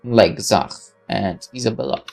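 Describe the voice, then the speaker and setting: A man's voice: lowish voice, normal speed, vocal fry, a little mellow, soft background music. Man wryly reading visual novel narration. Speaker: gaming video narrator.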